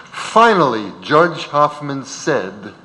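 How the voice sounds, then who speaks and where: A man's voice: mid-range voice, very animated, slow, bright, forceful tone. A man reading scripted narration on stage in a reverberant auditorium. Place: large auditorium.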